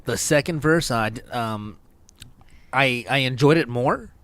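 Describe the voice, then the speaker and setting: A man's voice: medium pitch, a little slow, fairly bright tone, no room sound. A man hosting a casual panel review of rap songs, loose and swearing, laughing often. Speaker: show host.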